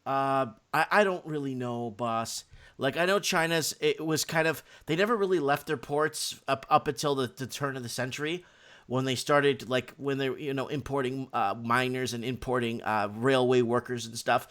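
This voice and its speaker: A man hosting a live call-in stream, chatting casually and unscripted in a halting, rambling way. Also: mid-range voice, fairly bright tone.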